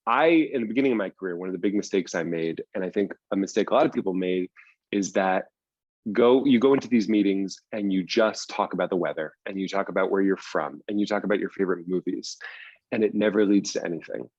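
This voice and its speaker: A man's voice: low-pitched, quick pace, darkish tone, no echo, dull audio. A man answering as an interview guest in a conversational, explanatory way, stressing points by repeating them. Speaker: guest, screenwriter and audio drama producer.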